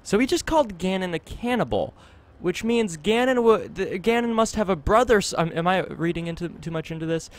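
Playful high-pitched man doing game commentary. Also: expressive, brisk. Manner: playful, rambling. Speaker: gaming video host. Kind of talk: let's play commentary. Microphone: desktop condenser microphone. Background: game music.

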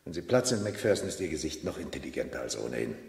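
An actor playing a gruff, sarcastic Scotland Yard chief inspector in a 1970s German radio crime drama, mocking and needling. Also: male voice, very deep voice, very animated, fast, darkish tone, full character voice.

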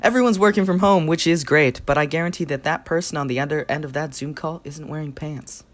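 An actress playing a jokey co-host in scripted comic radio hosting. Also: female voice, sits low, a little fast, lightly acted.

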